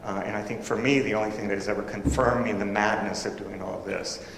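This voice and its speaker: Male academic panelist, reflective and halting, recorded in a roomy hall. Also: lowish voice, fairly even intonation, gravelly, fairly bright tone. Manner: thoughtful, halting with repeated restarts. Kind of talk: conference remarks. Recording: roomy hall with long reverb, faint noise.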